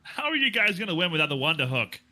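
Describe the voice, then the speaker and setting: A man's voice: fairly high pitch, big pitch swings, fast talker, sharp tone, full character voice. A man voicing an earnest young male hospital intern in a story read aloud.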